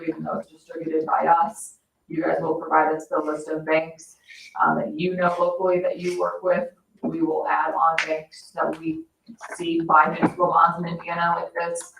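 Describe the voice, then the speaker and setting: A woman's voice: low voice, completely flat delivery, moderate pace, gravelly. A woman explaining matters in a businesslike way as a financial advisor at a public meeting. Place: indoor municipal meeting room. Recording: echoey meeting room, distant mic, dull, faint noise.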